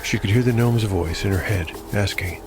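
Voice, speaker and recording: A man reading scripted dark fantasy narration in a measured storytelling manner. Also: on the low side, background noise. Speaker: narrator and host. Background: music.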